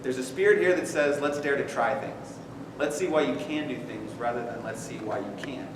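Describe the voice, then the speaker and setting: Loud man speaking unmiked in a hall. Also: mid-range voice, normal speed. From Oregon. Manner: loud, engaging, asks audience for raised hands. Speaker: speaker addressing school principals. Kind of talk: conference talk to a live audience. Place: large echoey conference room. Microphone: no microphone, recorded from far off. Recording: echoey hall, distant and noisy.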